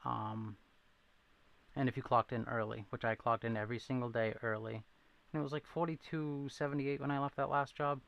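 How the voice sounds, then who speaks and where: A man hosting a casual chat stream, with a light but clear Boston accent from his New England upbringing. His voice is gravelly, with fry at the ends of his phrases, and his pitch sits at an ordinary middle. He rambles, jokes at his own expense and keeps answering viewers. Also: steady tone, brisk, dull audio. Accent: thin Boston accent. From New England, near Rhode Island. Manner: rambling, self-deprecating, reacts to chat. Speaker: livestream host.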